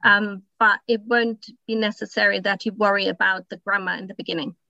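A woman's voice: medium pitch, fairly even intonation, fairly quick, creaky, bright, forceful tone, no room sound, muffled recording. A woman whose first language is German, speaking English as a writing coach on a podcast, giving encouraging, practical advice with frequent ums. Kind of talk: casual podcast chat.

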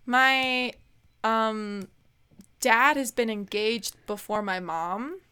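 A young woman in her twenties chatting on a podcast, with a fairly high voice. She talks in a loose, giggly way, full of "like" and restarts. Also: very slow, bright, forceful tone, smooth. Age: 20s. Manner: chatty, giggly, lots of fillers. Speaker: podcast co-host. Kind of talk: casual chat.

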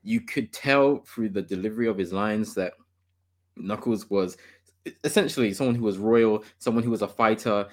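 Male podcast host, lowish voice, chatty and opinionated, laughs a lot. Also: moderate pace. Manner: enthusiastic, opinionated, laughs often. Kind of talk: casual gaming podcast chat.

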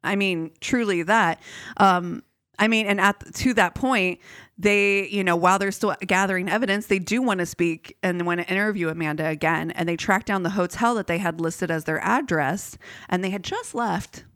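A woman's voice: mid-range voice, normal speed, dry recording, studio quality. Swearing woman telling a true crime tale. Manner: swears freely, animated retelling with quoted voices. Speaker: podcast co-host telling a true crime story. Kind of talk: casual storytelling chat.

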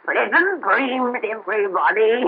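A cackling old witch. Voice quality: high, cackling. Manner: gloating, drawn-out delivery. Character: cackling old witch storyteller, gleeful and sinister. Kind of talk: 1930s radio drama. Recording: tinny old radio recording with faint hiss.

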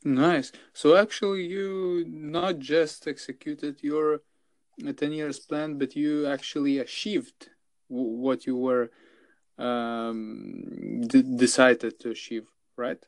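A man's voice: medium pitch, fairly even intonation, slow pace, dry recording. A man hosting a casual interview who asks his questions hesitantly, stumbling over his words. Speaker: podcast host.

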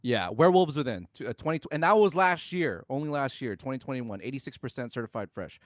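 A man's voice: medium pitch, lively intonation, fast, dry recording. Emphatic man in a dry recording. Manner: exasperated, emphatic, sweary. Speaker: podcast co-host. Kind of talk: casual opinionated film discussion.

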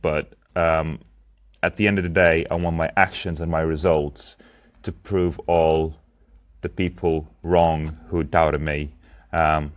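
A young man from Lithuania, speaking English as a second language, talks through his plans in a reflective personal diary monologue, hesitating often with ums and restarts. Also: rumbling low. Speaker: podcast host and founder.

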